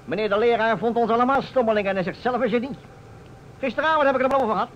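An actor playing a pompous, blustering older small-town mayor, easily indignant, in a Dutch radio drama. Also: male voice, very high voice, very fast. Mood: aggrieved. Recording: muffled vintage radio recording with noise.

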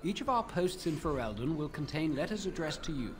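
An actor playing an earnest, courteous mercenary representative in a fantasy video game, speaking formally and with measured phrasing. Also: male voice, fairly high pitch, very animated. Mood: earnest, respectful. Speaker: non-player quest-giver in a fantasy video game. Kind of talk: video game dialogue acting. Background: game music.

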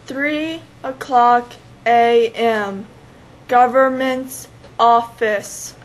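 A woman's voice: fairly high pitch, steady tone, drawn-out words, rough recording. A young teenage girl narrating scripted scene announcements. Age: young teen.

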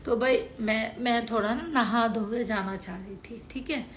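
A hoarse woman from Haryana ranting crudely and combatively on a late-night live stream from home, swearing heavily into her phone. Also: a little high, a little slow, a bit bright, slight background noise. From Haryana, India.

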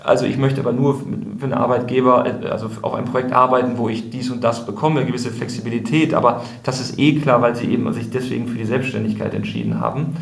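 A man's voice: medium pitch, steady tone, fast talker, creaky, few pauses. A man speaking as the guest in a podcast interview, explaining things thoughtfully and restarting sentences often. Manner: thoughtful, many fillers and restarts. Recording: roomy echo.

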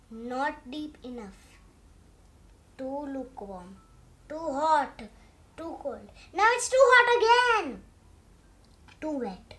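Little girl playing a high, stubborn pigeon. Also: a bit bright, heavily acted. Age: child, six years old. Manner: exclamatory, protesting, halting. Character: stubborn, dramatic, excitable cartoon pigeon refusing a bath. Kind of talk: picture book read aloud.

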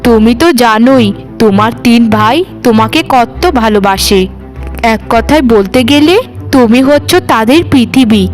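A woman's voice: fairly high pitch, normal speed, lightly acted. A woman playing a meek sister-in-law in a cartoon, placating and apologetic, with music behind her. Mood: pleading. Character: meek, pleading sister-in-law in a village household. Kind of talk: cartoon voice acting.

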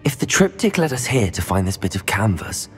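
A man acting as a level-headed, polite young student wizard hero in a video game, measured and formal. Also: fairly low pitch, sing-song. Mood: composed, earnest. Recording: music behind the voice.

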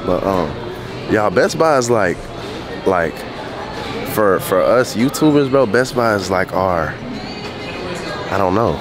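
A man's voice: on the low side, animated, slow pace, pauses often, reverberant, over music. A young man with a stuffy nose, talking casually to a handheld camera in laid-back slang. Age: young adult. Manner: laid-back slangy chatter, hyped greetings. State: congested. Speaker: vlogger. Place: small room indoors, then a big electronics store. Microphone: handheld camera at arm's length.

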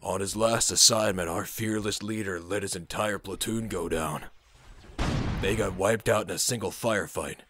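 A man voicing a gruff, bitter marine in an anime war fan dub, urgent and forceful in battle. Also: low-pitched, moderate pace. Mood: grim, bitter.

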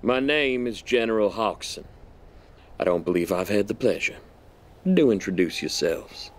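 An actor playing a smug, menacing army general in an audio drama, with an insinuating, mock-polite manner. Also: male voice, lowish voice, lively intonation, normal speed, natural voice. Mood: smugly menacing.

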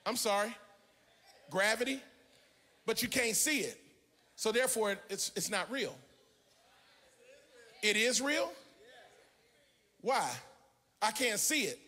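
A middle-aged American man preaching an animated, emphatic sermon as a pastor, theatrical, into a handheld microphone in a large church auditorium. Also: high-pitched, slow, pauses often. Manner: emphatic, theatrical, often shouting and half-singing.

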